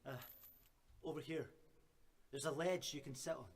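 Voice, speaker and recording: Actor as weary, desperate imprisoned keeper, noisy background. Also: male voice, on the high side, steady tone, moderate pace, lightly acted. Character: imprisoned male keeper of a secretive order, weary, suspicious, desperate. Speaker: actor in student radio drama. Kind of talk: dramatic radio drama acting.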